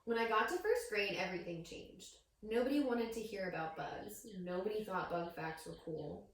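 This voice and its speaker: A woman reading a picture book aloud to young children as a teacher, with expressive storytelling and questions to her listeners. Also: medium pitch, moderate pace. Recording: very echoey room, distant microphone.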